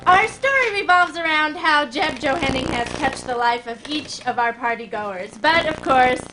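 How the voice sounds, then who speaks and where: A woman's voice: high-pitched, animated, normal speed, poor audio. A woman performing a theatrical musical comedy skit at a house party, moving between narration and song. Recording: home video with loud music behind. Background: musical instrument playing.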